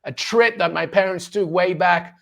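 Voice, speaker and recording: A man in his forties from Peru, a sports journalist telling his story as an interview guest in an animated, enthusiastic way, quick to laugh. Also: sits high, vocal fry. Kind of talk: casual storytelling in a conversation.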